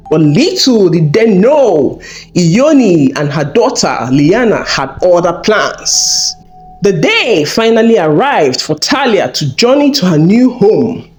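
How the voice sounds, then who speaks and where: Woman storyteller, scripted folktale narration, animated intonation, deliberate phrasing, dramatic, faint music underneath. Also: on the low side. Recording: voice over background music.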